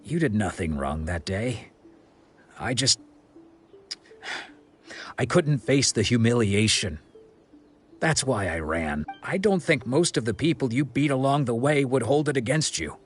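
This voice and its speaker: A calm forgiving swordsman. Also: mid-range voice, breathy edge. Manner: measured, earnest. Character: calm former rival swordsman, steady and forgiving.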